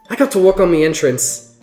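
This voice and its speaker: A cheeky, gap-toothed rogue of a fairy man, played by a woman. The delivery is chirpy and colloquial, swinging about in pitch, in a slightly roomy recording with music behind. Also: very animated, moderate pace, dark tone. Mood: playfully rueful.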